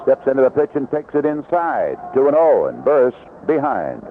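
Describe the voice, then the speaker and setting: A male baseball announcer delivers live play-by-play in short descriptive phrases, with an animated, widely moving pitch at an ordinary male height. The sound is a narrow, lo-fi 1970s radio broadcast with faint noise behind the voice. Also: normal speed. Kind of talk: live radio sports commentary. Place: outdoor ballpark broadcast position.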